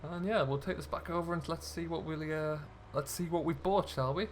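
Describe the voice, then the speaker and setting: A man's voice: on the high side, brisk. Chatty man commentating over vehicle noise. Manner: chatty, talks himself through the driving. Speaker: gaming video host. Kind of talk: casual role-play gameplay commentary.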